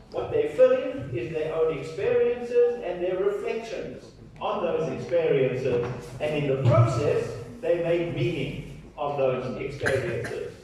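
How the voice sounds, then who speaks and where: Man lecturing, high voice, heavy hall echo, a bit off-mic, faint background noise. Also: dark tone, distant mic. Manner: checks in with the audience often. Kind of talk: academic lecture. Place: large echoey lecture hall. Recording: echoey hall, speaker off-mic.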